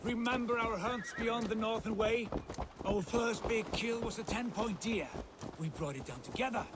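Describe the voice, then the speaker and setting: An actor playing a proud, boastful Viking lord in a video game, fiery and declamatory with archaic phrasing. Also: male voice, high voice, normal speed, heavy background noise, lightly acted. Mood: exhilarated.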